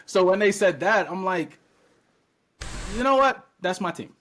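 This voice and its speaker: A man in his thirties, originally from Trinidad and Tobago, chats casually as a podcast guest. His voice sits a touch high for a man, and he tells animated stories, playing out what he once said. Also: a little slow. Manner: animated storyteller, acts out his own past words.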